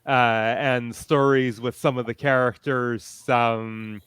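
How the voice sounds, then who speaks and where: A man on a casual fan panel, explaining things hesitantly with frequent pauses to find his words. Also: medium pitch, little pitch movement, fairly bright tone, clean tone, no echo.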